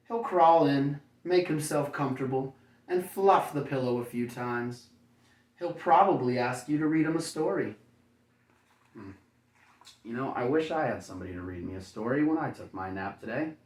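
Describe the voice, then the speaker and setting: Guy doing a kids story read-aloud, chatty kindergarten teacher type w/ goofy little asides, echoey room, mic kinda far off. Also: mid-range voice. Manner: playful, folksy, chatty commentary. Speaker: kindergarten teacher reading a picture book aloud. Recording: roomy echo, distant microphone.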